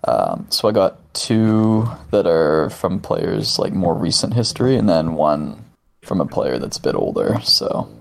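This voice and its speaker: A man's voice: low-pitched, big pitch swings, a little slow, creaky. A man co-hosting a podcast, reading out trivia hints in an easygoing way and laughing often. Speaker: podcast co-host, quiz giver. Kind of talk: casual chat.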